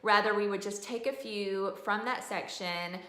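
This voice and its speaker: A woman's voice: mid-range voice, unhurried, fairly bright tone. A woman giving a casual, earnest product review, explaining things in a conversational way. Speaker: homeschooling mom reviewing a curriculum. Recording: roomy, echoey room sound.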